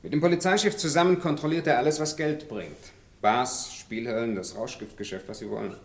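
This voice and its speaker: A man's voice: mid-range voice, close to an everyday voice. Urbane, condescending crime boss played by a man. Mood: suave, musing. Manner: measured, polite, condescending. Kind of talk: noir radio crime drama acting.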